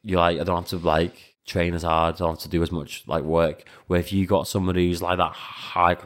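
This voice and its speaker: A man's voice: deep voice, flat delivery, a little fast. A Latvian-born man in his twenties with a Scouse accent, a kickboxer and coach talking casually as a guest, full of fillers and restarts. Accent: Liverpool (Scouse). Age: 20s. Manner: rambling, many fillers and restarts, acts out quoted speech. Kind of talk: casual interview conversation.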